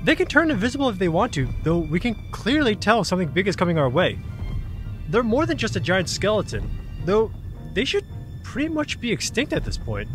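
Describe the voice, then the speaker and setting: An actor voices a tanuki spirit in an audio drama, easily flustered and quick to grumble. The delivery is animated, with big pitch swings, and slips into knowing explanation. Music is clearly audible behind the voice. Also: close to an everyday voice. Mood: explanatory, uneasy. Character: grumbling, nervous tanuki raccoon-dog spirit, knowledgeable.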